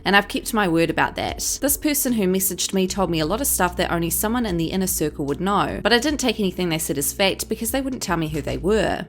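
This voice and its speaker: A woman hosting online drama commentary, reading scripted narration and social media posts in a news-like way. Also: on the low side. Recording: voice-over with music underneath. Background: background music.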